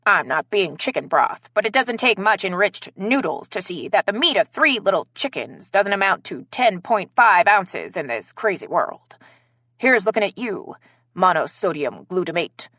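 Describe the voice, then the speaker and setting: A woman's voice: medium pitch, sing-song, normal speed, pushed and bright, no room sound, clean studio sound. A woman narrator voicing a theatrical, commanding woman who leads an improv game in a story. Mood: grave, theatrical. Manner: theatrical, directing.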